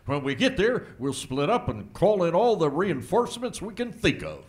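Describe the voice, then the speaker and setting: An actor playing a flustered, blustering small-town sheriff with a folksy manner in a comic radio play. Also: male voice, high voice, wildly swooping pitch, normal speed. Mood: commanding. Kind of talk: comic radio play performed before an audience.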